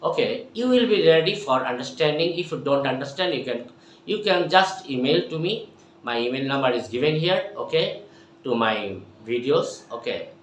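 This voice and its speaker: A man from Bangladesh with a Bangladeshi English accent, teaching English by repeating tongue twisters slowly and emphatically. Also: mid-range voice, lively intonation. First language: Bengali. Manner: repeats phrases slowly and emphatically, addresses viewers as my dear. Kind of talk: tongue twister drill lesson. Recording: echoey room, faint background noise.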